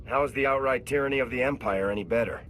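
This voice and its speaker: An actor playing a loyal, idealistic soldier in a video game, earnest and defiant. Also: male voice, mid-range voice, fast talker, fairly bright tone, slight background noise, lightly acted, rough recording. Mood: indignant. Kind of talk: video game cutscene acting.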